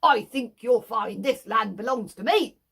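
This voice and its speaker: A woman's voice: on the high side, unhurried, vocal fry, fairly bright tone, slightly rough, full character voice. A woman voicing a blunt, stubborn male country farmer who trades rude insults. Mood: scornful.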